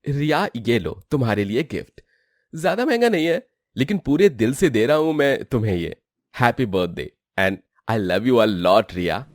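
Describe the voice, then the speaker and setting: A man's voice: mid-range voice, big pitch swings, measured pace, no echo, clean studio sound. A man playing an earnest, firm young husband in a cartoon moral story. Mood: tender. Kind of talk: animated moral-story dramatisation.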